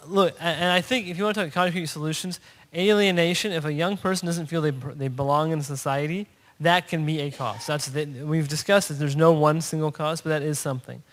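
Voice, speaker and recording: A man speaks off the cuff at a panel, his voice sitting a little high for a man. He talks conversationally, restarting phrases and tagging points with quick questions. The sound carries the long ring of a large conference hall. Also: fairly bright tone. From born in Pakistan, raised in Texas. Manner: conversational, frequent "right?" tags and restarts. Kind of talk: unscripted panel remarks.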